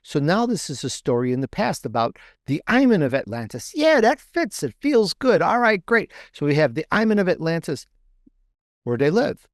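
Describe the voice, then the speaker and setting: A man's voice: a little high, big pitch swings, moderate pace, no room sound, clean studio sound. A man talking conversationally as an interview guest, repeating and rephrasing himself as he explains. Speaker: podcast guest, author and podcaster.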